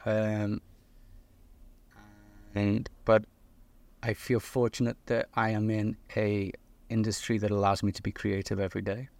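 A man's voice: lowish voice, flat delivery, a little slow, creaky, pauses often, a little mellow, no room sound. A middle-aged man from the north of England with a Liverpool accent, a podcast guest telling his story casually with fillers and restarts. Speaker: guest, creative director of a video company.

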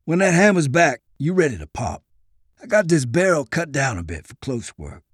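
A man's voice: a little high, big pitch swings, moderate pace, slightly rough, no echo, studio quality. Man voicing a folksy, drawling Southern soldier. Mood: instructive, approving. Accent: rural American South. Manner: drawling, colloquial, instructive. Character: folksy, confident soldier corporal, good with guns.

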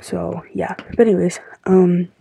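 A teenage girl hosting her own podcast, sounding stuffy and tired, rambling with lots of fillers in a soft, confiding way. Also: fairly low pitch, fairly even intonation, a little slow, gravelly, a little hiss or hum. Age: teens. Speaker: podcast host. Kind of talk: casual solo podcast talk.

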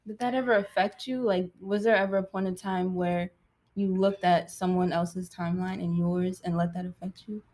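A woman co-hosting a faith podcast who asks the guest brief, measured questions. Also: fairly low pitch, steady tone, a little mellow. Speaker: podcast co-host.

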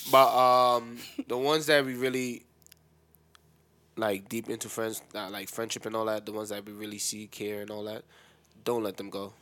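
A man's voice: fairly low pitch, level tone, moderate pace, a bit bright. A man co-hosting a talk show, speaking casually and without a script. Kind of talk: casual unscripted chat.

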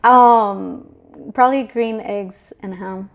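A middle-aged woman from Mexico, chatting as a guest in a casual interview, relaxed, with many fillers and restarts. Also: mid-range voice, slow pace, lo-fi sound. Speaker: guest, business manager.

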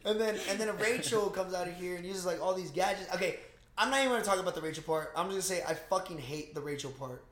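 A man's voice: sits high, fast talker, fairly bright tone. A young man co-hosting a podcast, casually recapping a movie in a slangy, profane, rambling way. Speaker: podcast co-host. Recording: roomy, echoing room sound.

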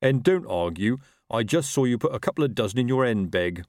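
A man's voice: medium pitch, animated, a little fast, a little mellow, dry recording, clean studio sound, close to an everyday voice. A man voicing a self-important, slightly dim police captain with a weary, colloquial, pompous manner in a storybook reading. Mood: pompous, tetchy. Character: self-important, tetchy, slow-witted old-school police captain.

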